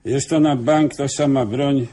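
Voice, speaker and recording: A man's voice: mid-range voice, steady tone, unhurried, dark tone, natural voice. An actor playing a deferential, streetwise police officer in a radio play, starting hesitantly as he reports. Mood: eager, reporting.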